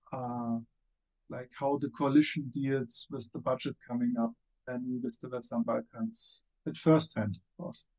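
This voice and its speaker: A man's voice: mid-range voice, mellow. German-accented man, muffled call audio. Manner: hesitant, many fillers and restarts. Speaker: panelist, former member of parliament. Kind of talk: online panel discussion. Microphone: video call.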